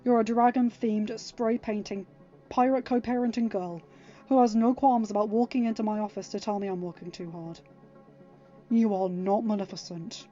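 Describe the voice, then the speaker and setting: A woman voicing an earnest, protective young king, speaking gently but emphatically to reassure someone in a story. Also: medium pitch, steady tone, normal speed, dull audio, soft background music, close to an everyday voice. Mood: firm, reassuring.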